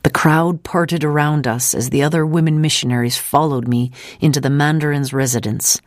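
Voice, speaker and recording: A narrator voicing a steadfast veteran missionary woman who gravely and deliberately recounts danger in an audiobook. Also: medium pitch, steady tone, no room sound, studio quality, natural voice. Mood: steady, composed.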